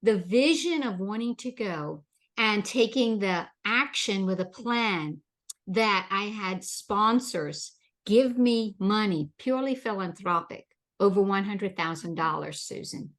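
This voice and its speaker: A woman's voice: mid-range voice, expressive, a little slow. A woman from the Bahamas hosting a leadership interview, encouraging and repeating words as she builds her questions. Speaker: podcast host. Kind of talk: interview chat.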